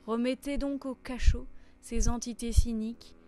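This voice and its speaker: A woman recites dramatic verse over instrumental music. Her voice sits a little on the high side. The delivery is theatrical, deliberate and solemn. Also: fairly even intonation, warm tone.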